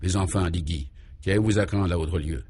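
An earnest male reporter in a radio serial, acted by a man, with a fairly low voice. He is deferential and polite, asking puzzled questions. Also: moderate pace, a little mellow, breathy edge, no echo.

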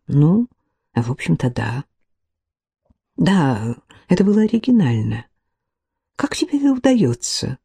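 Woman reading a novel aloud, slow and steady, warm mellow voice. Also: lowish voice. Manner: unhurried, reads all characters in her own voice. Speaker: audiobook narrator. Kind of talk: scripted audiobook narration.

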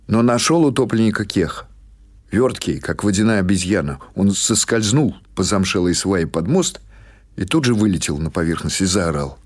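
Husky-voiced man narrating prose. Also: low voice, lively intonation, normal speed. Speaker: audiobook narrator. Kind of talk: scripted literary narration of fiction.

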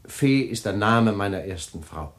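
A rattled husband, played by a man with a lively, swinging voice, smooth with friends and sharp when cornered. He talks his way around things, in an old radio play. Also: lowish voice, normal speed, close to an everyday voice. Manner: smooth, then flustered and shouting on the phone. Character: husband with a secret, defensive and rattled.

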